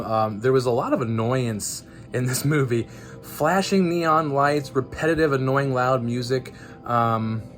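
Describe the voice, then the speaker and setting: An American man hosting a vlog with casual, chatty commentary in an informal, jokey, incredulous manner. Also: mid-range voice. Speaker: vlog host.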